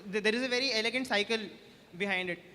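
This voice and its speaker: Young man hosting in a hall, high voice. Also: bright, forceful tone, slight background noise. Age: young adult. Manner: joking, teasing, quick repeated restarts. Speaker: senior student host. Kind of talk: casual stage banter with freshers. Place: large hall on stage.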